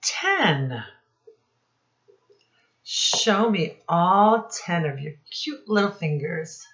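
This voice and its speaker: A woman's voice: fairly low pitch, sing-song, drawn-out words, fairly bright tone, lo-fi sound. A woman teacher leading young children through a counting lesson in an encouraging, sing-song way.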